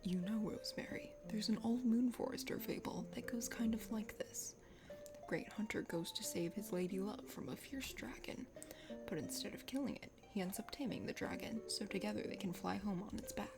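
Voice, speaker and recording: A woman voices a young game prince, earnest and tender. The delivery is soft, breathy and often whispered, proud yet unsure, with clear music playing behind. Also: medium pitch, normal speed, voice pushed down, natural voice. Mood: admiring, shy.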